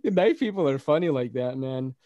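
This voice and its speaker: A man's voice: medium pitch, expressive, a little fast, mellow, dry recording, dull audio. A man with a Filipino accent hosting a podcast in casual, slangy chat, laughing often. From Philippines. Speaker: podcast host.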